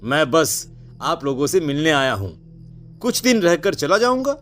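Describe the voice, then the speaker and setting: A man playing a headstrong seventeen-year-old son in an animated horror story, exasperated and insistent. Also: on the high side, moderate pace, soft background music.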